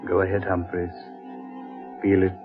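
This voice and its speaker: A man's voice: deep voice, monotone, measured pace. An actor playing a nervous, cautious man in an old-time radio horror drama, with a dramatic, hesitant delivery. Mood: awed. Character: nervous man, cautious and excitable, lost in forest. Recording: dull vintage radio recording with music.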